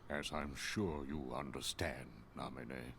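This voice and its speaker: An actor playing a stern, menacing older schemer in a video game cutscene, speaking gravely and formally. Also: male voice, extremely low pitch, steady tone, measured pace, a bit bright, a little hiss or hum, full character voice. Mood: grim.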